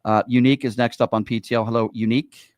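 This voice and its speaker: A man's voice: mid-range voice, little pitch movement, fast, no echo. Blunt male talk radio host, dry close sound. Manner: blunt, confrontational. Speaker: radio call-in show host. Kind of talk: opinionated political talk radio.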